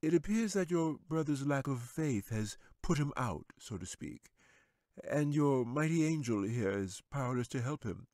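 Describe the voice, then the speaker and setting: A man voicing a sly, scheming male wizard who speaks in a slow, gloating, taunting way. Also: mid-range voice, lively intonation, a little mellow, no room sound, studio quality, voice pushed up, natural voice. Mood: mocking.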